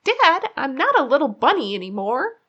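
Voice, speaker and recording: A woman's voice: sits high, very animated, moderate pace, fairly bright tone, lo-fi sound, voice pushed up. A woman voicing an eager, defensive young boy rabbit in a storybook, emphatic and childlike. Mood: insistent.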